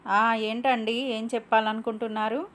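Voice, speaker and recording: A woman's voice: on the high side, flat delivery, normal speed, fairly bright tone, natural voice. A woman voicing a proud, assertive middle-aged mother in a cartoon moral story. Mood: insistent, proud. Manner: assertive, dismissive to husband. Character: middle-aged mother, proud, bossy, set on a stylish daughter-in-law.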